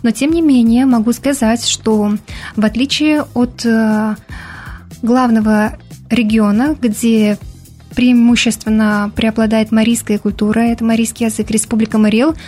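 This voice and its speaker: A woman's voice: fairly high pitch, fairly even intonation, normal speed, warm tone, soft background music. A young woman from Bashkortostan, a Mari language activist, answering a radio interviewer in an earnest, explanatory way with some hesitant fillers. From Bashkortostan, Russia. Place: radio studio.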